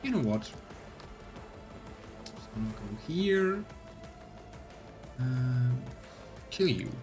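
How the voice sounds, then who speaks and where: A man's voice: mid-range voice, big pitch swings, drawn-out words, music in the background. A man streaming a let's play, giving casual commentary and reading game narration aloud.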